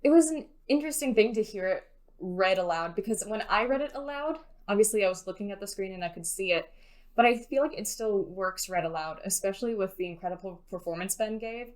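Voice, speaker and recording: A woman's voice: mid-range voice, expressive, fairly quick. A woman giving casual, conversational answers as an interviewed poet.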